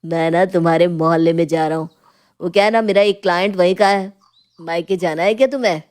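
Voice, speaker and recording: Storybook young husband, played by a woman: relaxed attitude, playful, teasing, agreeable. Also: fairly low pitch, brisk, pitched below the performer's own voice, natural voice. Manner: casual, playful, reassuring.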